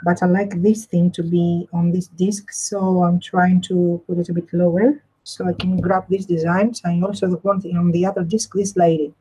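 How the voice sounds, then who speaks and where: A woman's voice: on the low side, level tone, normal speed, hardly any pauses, dark tone, husky, poor audio. A woman with a Greek accent hosting a casual live craft demonstration, repeating and rephrasing herself as she explains and chats. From Greece. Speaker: craft tutorial host.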